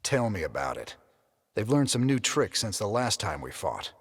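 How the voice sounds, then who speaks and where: Gruff male rebel commander, video game: deep, raspy, tired, plain-talking. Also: big pitch swings, moderate pace, heavily acted. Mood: matter-of-fact, resolved. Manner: gruff, casual, plain-spoken. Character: world-weary, tough male space rebel commander. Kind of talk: naturalistic game cutscene acting. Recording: long reverb of a large room.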